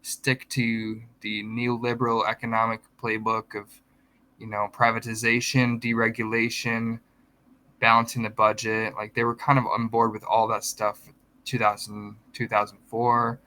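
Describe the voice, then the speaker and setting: A man's voice: mid-range voice, monotone, moderate pace, bright, forceful tone. A man in casual podcast chat, explaining politics at length and correcting himself as he goes. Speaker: podcast co-host.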